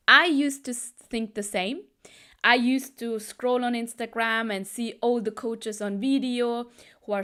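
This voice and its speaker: A woman's voice: a little high, a bit bright. A woman from Germany with Tamil as her mother tongue, giving an emphatic motivational talk as a business coach, often repeating phrases for weight.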